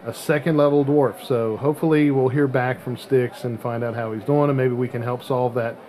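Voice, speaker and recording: A middle-aged man hosting a podcast in casual chat, often halting as he searches for words. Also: mid-range voice, steady tone, mellow. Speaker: podcast host.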